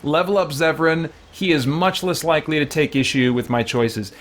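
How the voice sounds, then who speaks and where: A man's voice: on the high side, normal speed. A man chatting casually and a little self-effacingly while livestreaming a game. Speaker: game streamer.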